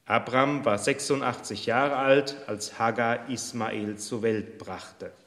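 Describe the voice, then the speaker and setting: Male pastor, solemn measured reading, slow delivery, long reverberant church hall. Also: medium pitch, fairly even intonation, a bit bright. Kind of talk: church service prayer and scripture reading.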